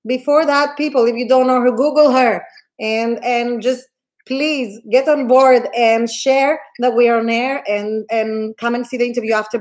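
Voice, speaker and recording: A woman hosting a live video interview, effusive and enthusiastic, often restarting her sentences. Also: fairly high pitch. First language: Greek. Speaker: host of a live online interview. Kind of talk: casual livestream interview.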